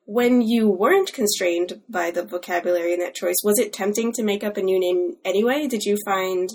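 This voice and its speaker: Husky-voiced woman interviewer. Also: medium pitch, a little mellow. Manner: measured, curious questioning. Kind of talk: interview questions.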